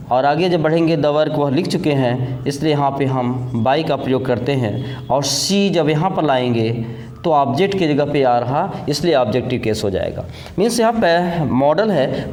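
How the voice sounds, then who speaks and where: An Indian-accented man gives a classroom-style grammar lesson in a fairly high voice. He explains step by step and repeats his key points, mixing in English terms. The room is very echoey, with some noise behind him. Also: darkish tone.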